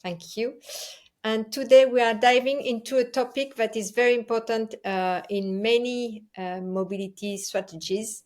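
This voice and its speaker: A woman with a French accent and native French hosts a live online talk show in a hesitant, halting way. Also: on the high side, fairly even intonation, a little mellow. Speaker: host.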